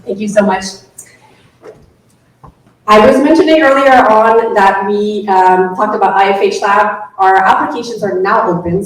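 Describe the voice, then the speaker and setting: A woman moderating a live panel discussion in a friendly way, with frequent ums as she introduces the speakers. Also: medium pitch. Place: event room with an audience. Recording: echoey room, distant mic, faint noise.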